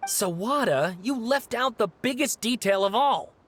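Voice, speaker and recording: A man's voice: very high voice, expressive, a little slow, a bit bright, full character voice. A man playing an earnest, impatient young scholar in a video game, speaking in a pointed way. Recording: music behind the voice.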